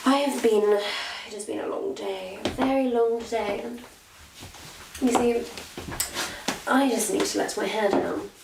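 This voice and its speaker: A woman's voice: fairly high pitch, gravelly. A woman playing a sly, self-satisfied witch posing as a missionary, in a theatrical, condescending monologue that savors each word to a captive listener. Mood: gloating, condescending. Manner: theatrical, condescending, savoring words with long pauses. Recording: roomy echo, faint background noise.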